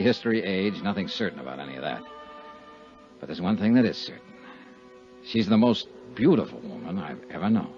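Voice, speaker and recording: An American actor playing a tough, wry insurance investigator in a clipped 1950s radio detective style. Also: male voice, deep voice. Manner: clipped, hard-boiled delivery. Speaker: lead actor and narrator of a radio serial. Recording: dull 1950s radio recording.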